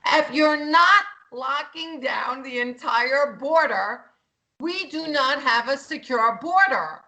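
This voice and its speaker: A woman's voice: high voice, animated, slow, bright, forceful tone, poor audio. A woman co-hosting a talk show, speaking bluntly and passionately, swearing freely. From Greek heritage. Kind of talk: heated political talk.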